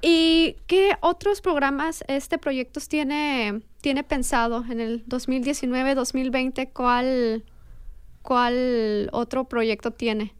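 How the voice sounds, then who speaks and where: A woman's voice: sits high, steady tone, takes it slowly, clear, resonant tone, dry recording. A woman with a Mexican accent interviewing a guest in a casual chat, often hesitating and restarting her sentences. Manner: hesitant, many restarts and fillers.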